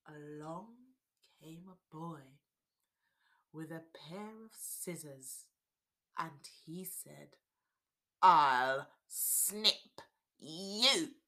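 A woman reading a children's storybook aloud in an expressive storytelling style. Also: on the low side. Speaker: storybook reader.

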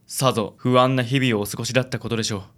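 A man playing a suave, theatrical gentleman with pompous, chivalrous, flowery speech in a comic radio drama. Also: medium pitch, fairly even intonation, racing, close to an everyday voice. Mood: dramatic concern. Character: suave, theatrical gallant man who fusses over ladies.

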